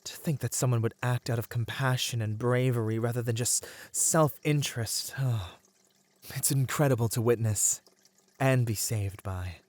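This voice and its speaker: A man playing a merman siren in an ASMR roleplay, speaking in courteous, formal phrases as a seemingly gentle creature with a predatory streak. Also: mid-range voice, no echo, lightly acted. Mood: grateful, amazed. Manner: soft, courteous, formal, halting speech. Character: male merman siren, feigning gentle innocence, secretly predatory.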